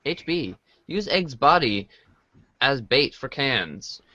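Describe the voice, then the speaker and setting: A man's voice: mid-range voice, big pitch swings, slow pace, pushed and bright, no echo, muffled recording. A man reading a comic story aloud as part of a podcast reading group. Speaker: podcast reader. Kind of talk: dramatic reading of a webcomic aloud.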